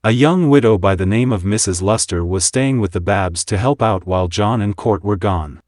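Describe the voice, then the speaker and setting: A man's voice: lowish voice, no room sound, studio quality. A man reading scripted history narration in a storytelling style.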